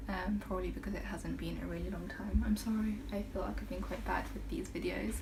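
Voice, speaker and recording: A woman in her twenties from the UK, chatting casually to camera in a rambling way, with many fillers and soft laughs. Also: medium pitch, steady tone, fairly quick. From United Kingdom. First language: half Brazilian, Portuguese spoken at home. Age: 20s. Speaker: vlogger. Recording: noticeable background noise.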